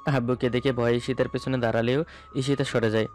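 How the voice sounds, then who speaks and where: A man's voice: mid-range voice, flat delivery, fast talker, a little mellow. A man narrating a romantic story in the first person, in a dramatic storytelling style. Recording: music bed under the voice. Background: background music.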